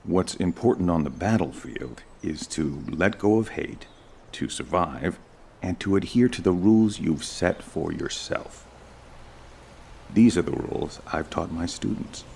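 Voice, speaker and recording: Gravelly, very deep old veteran pilot. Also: male voice, lively intonation, mellow, a little hiss or hum, heavily acted. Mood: reflective, resigned. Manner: measured, reflective. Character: weary old veteran ace pilot and former flight instructor, resigned. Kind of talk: documentary interview acting.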